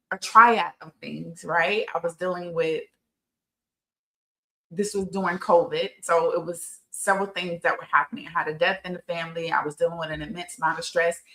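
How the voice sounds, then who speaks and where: A woman's voice: medium pitch, a bit bright, low-quality recording. Conversational, emphatic woman of fifty. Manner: emphatic, conversational, repeats phrases for stress and checks in with "right?". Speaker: host of a women's health talk show. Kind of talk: informal educational talk with personal anecdotes.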